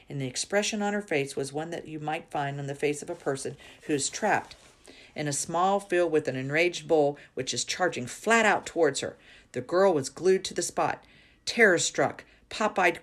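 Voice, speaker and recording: A woman reading a children's story aloud in an expressive narrating style. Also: low voice. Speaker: reader.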